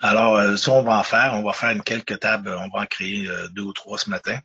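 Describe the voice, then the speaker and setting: A man with a Quebec French accent, stuffy from a cold, presenting a software webinar in a hesitant, casual way with many fillers and restarts. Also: on the low side, flat delivery, fairly quick, husky, muffled recording. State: congested with a cold.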